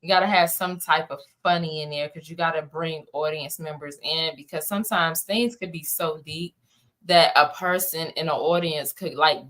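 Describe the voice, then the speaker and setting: A woman with a low voice talks casually in an interview as a playwright. She is emphatic and conversational, rambling a little as she explains. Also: fairly bright tone. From Washington, D.C. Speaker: playwright and director being interviewed.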